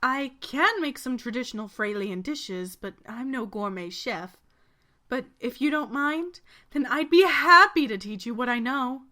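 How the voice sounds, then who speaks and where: A woman's voice: on the high side, lively intonation, measured pace, pushed and bright. A woman playing a kind, devoted knight and older-sisterly protector, formal and reassuring, in a video game fandub. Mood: gracious.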